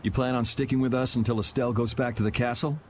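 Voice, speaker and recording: A man's voice: medium pitch, little pitch movement, fast talker, close to an everyday voice. Man playing a wry young vigilante, noisy backdrop. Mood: sardonic. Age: young adult. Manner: dry, casual, sardonic. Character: cool, wry young male guild vigilante. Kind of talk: video game voice acting.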